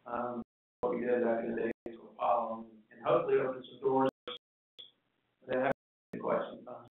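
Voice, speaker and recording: An American man giving a conference presentation in a hesitant, halting way. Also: medium pitch, level tone, gravelly. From East Coast of the United States. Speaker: keynote speaker, aerospace engineer. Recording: distant, echoey, narrow phone-like sound, faint noise.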